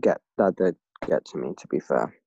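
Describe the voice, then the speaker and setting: A man's voice: a bass voice, expressive, moderate pace, creaky, warm tone, slightly rough, dry recording. A young man from London with an English accent, a podcast guest reflecting earnestly in casual conversation. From London, England. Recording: dull lo-fi dry recording.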